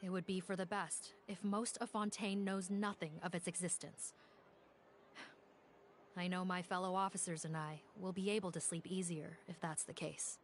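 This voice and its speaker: A woman playing a composed, serious law officer in a video game, speaking in a formal, courteous, measured manner. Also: lowish voice, fairly even intonation, a little hiss or hum, close to an everyday voice. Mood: formal, earnest.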